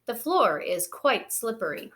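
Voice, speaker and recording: A fussing mother bear from a picture book, played by a woman reading aloud. She is brisk and orderly, chiding and instructing with a very animated melody in her voice. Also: on the high side. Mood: instructive.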